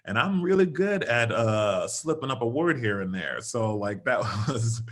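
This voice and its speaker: A man's voice: medium pitch, a little slow. A man being interviewed over a video call, talking casually and animatedly, laughing often and acting out what he said. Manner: animated, laughs often, quotes himself in lively bursts. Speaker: interview guest, stage actor and singer.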